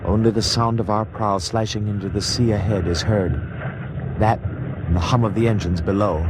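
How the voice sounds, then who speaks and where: Very deep male narrator over loud effects. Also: steady tone, moderate pace, noisy background. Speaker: scene narrator. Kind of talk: dramatic present-tense scripted narration. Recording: loud sound-effect ambience behind voice.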